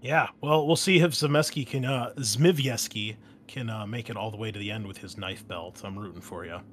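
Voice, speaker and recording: A man's voice: fairly low pitch, moderate pace, slight background noise. A man hosting a tabletop game as game master, chatting casually and teasing the players. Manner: jokey, teasing.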